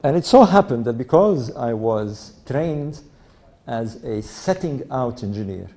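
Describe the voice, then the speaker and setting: Older man with a mixed accent, mellow voice. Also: mid-range voice, expressive. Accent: mixed European-Egyptian. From Egypt. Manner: unhurried, anecdotal, chuckles at his own story. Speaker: speaker giving a talk to an audience. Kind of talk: storytelling talk.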